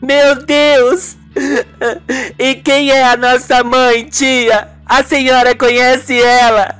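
A young niece in a radio soap, played by a woman. Her voice is pitched high, and she is emotional and pleading in a dramatic way. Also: flat delivery, slow, fairly bright tone, smooth, faint music behind. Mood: distressed, demanding. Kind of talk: amateur radio soap acting.

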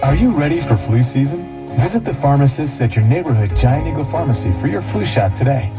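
A man's voice: mid-range voice, very animated, a little fast. A man reading a scripted commercial as an announcer. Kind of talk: scripted ad read. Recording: loud music bed, recorded off car radio. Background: plucked guitar music.

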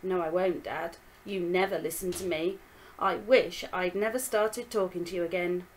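A woman's voice: very high voice, huge pitch swings, a little slow, a little hiss or hum, voice pushed up, exaggerated voice, low-quality recording. A woman doing a defiant young girl. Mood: angry. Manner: pleading, rising to shouting.

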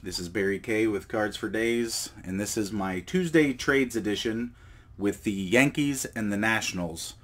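A man with a cold hosting a card collecting channel, talking in a relaxed, rambling way with fillers and self-corrections while he shows cards at a table indoors. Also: fairly low pitch, unhurried. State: has a cold. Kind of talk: casual show-and-tell vlog.

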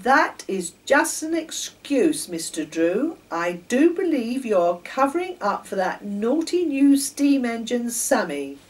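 A woman's voice: on the high side, sing-song, slow pace, few pauses, distant mic, voice pushed up. A woman voicing a strict, officious male station master in a storybook. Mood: stern. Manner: sharp, commanding.

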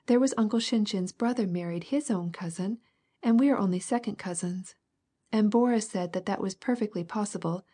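A woman's voice: mid-range voice, normal speed, warm tone, slightly rough, natural voice. A woman voicing a merry, comforting young girl.

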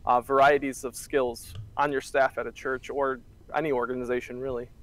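A young man in his twenties, a church media worker, answering interview questions in a relaxed, chatty way with many fillers, heard over a webcam from a small office. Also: mid-range voice, normal speed. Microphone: webcam on a video call.

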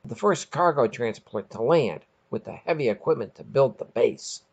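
A brisk, commanding woman space captain acted out by a man in a sci-fi story. The voice is husky and swings widely in pitch, barking orders with authority. The sound is slightly dull and lo-fi. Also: expressive. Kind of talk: short story reading.

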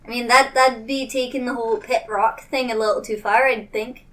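A woman's voice: fairly high pitch, steady tone, moderate pace, a bit bright. A woman playing a tabletop game, chatting casually in a tentative, questioning way.

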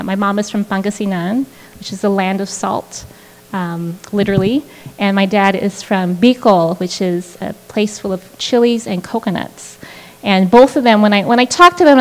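A woman in her thirties giving an earnest, reflective acceptance speech at a podium, with frequent restarts and fillers. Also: mid-range voice. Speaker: prize winner giving a speech. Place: large indoor event hall, at a podium. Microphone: podium microphone on a stand. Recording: long reverb of a large hall.

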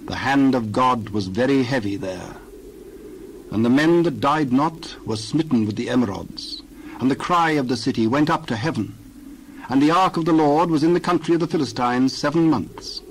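A man giving a dramatized Bible reading in a steady, formal way. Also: mid-range voice, normal speed, slight background noise, poor audio. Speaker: Bible reader.

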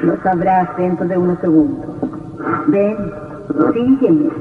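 Death in a radio horror play: high-set voice, solemn, unhurried, drenched in reverb. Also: male voice, far from the mic, very noisy. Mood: commanding. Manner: slow, solemn, measured delivery. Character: Death as a calm, solemn young female spectre. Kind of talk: old radio horror drama acting. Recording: tinny old radio, long reverb, music behind.

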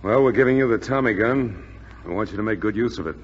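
An actor in a 1940s radio drama playing a tense, commanding bomber skipper who gives terse orders. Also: male voice, fairly low pitch, quick pace, husky. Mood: tense, resolute. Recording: noisy, muffled 1940s radio recording.

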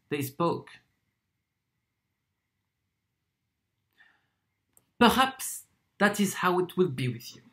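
An actor performs a proud itinerant torturer in a stage monologue. The delivery is unhurried and deliberate, full of weighted pauses. The mid-pitched voice swings widely up and down in a theatrical way. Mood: persuasive, earnest. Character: proud wandering torturer from Poland, wheedling then contemptuous.

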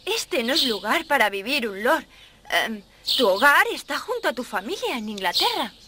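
An actress playing a gentle, earnest young lady in a cartoon, speaking softly. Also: high-pitched, sing-song, moderate pace, background noise. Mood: earnest, coaxing. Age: young adult. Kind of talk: animated cartoon dub.

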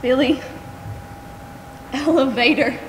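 An actress on a theatre stage playing a gentle, devout woman who comforts the dying, speaking tenderly and deliberately. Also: high-pitched, fairly even intonation. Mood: grieving. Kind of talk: stage play acting. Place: large theatre stage, recorded from the audience. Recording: echoey theatre stage, some hiss.

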